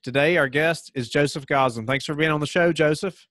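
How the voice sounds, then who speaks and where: A man's voice: on the high side, brisk, dry recording, studio quality. A man in his thirties hosting an interview podcast, asking questions with lots of "you know". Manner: relaxed, many fillers like "you know". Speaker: podcast host. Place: home office.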